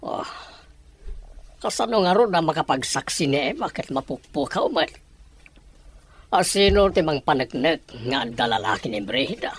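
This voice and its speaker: A woman's voice: low-pitched, lively intonation, normal speed, long pauses, slight background noise. A woman acting a suspicious, dramatic character in an Ilocano-accented radio family melodrama. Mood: grave, suspicious.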